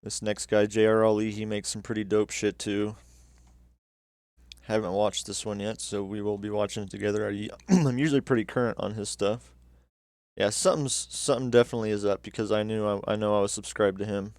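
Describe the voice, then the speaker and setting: A man's voice: on the low side, steady tone, brisk, a little mellow, no echo, studio quality. A young man hosting a livestream, chatting casually and cursing as he reacts to videos with viewers. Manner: laid-back, swears casually. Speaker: livestream host.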